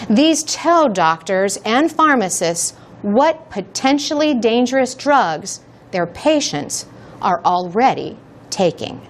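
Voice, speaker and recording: Slow, lively-voiced woman news reporter. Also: on the high side, a little hiss or hum. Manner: clear, deliberate delivery. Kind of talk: slow scripted news reading for learners.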